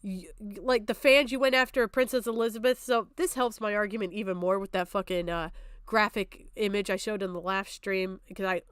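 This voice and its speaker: A woman's voice: medium pitch, fairly even intonation, normal speed, smooth, dry recording, studio quality. A woman streamer giving live reaction commentary in a combative, sarcastic way, swearing heavily.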